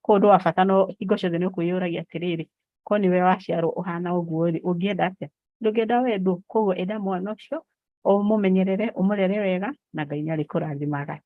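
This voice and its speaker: Kenyan woman guest, Kikuyu native, earnest and steady, muffled dry remote audio. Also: fairly low pitch, very fast, no echo. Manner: earnest, steady, preaching scripture. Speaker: guest, foundation founder. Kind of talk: faith-based interview talk.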